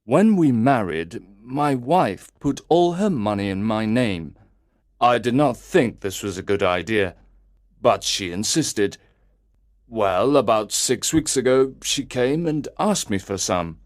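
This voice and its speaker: A man narrating a first-person story in a slow, deliberate, carefully enunciated way, as if for language learners. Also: mid-range voice, animated, dry recording, studio quality.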